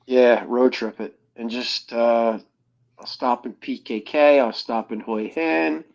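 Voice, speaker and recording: A man's voice: mid-range voice, steady tone, slow pace, vocal fry, a little mellow. A man chatting casually as a host, a little hesitant, thinking aloud as he goes. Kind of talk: casual chat. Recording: dull lo-fi voice channel.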